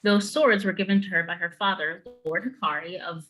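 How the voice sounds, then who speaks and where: Woman as a low-voiced, guarded female retainer. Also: steady tone, a little fast, fairly bright tone, lightly acted, low-quality recording. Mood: stern. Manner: formal, guarded. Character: reserved, dutiful female samurai retainer. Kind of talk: dramatic script reading.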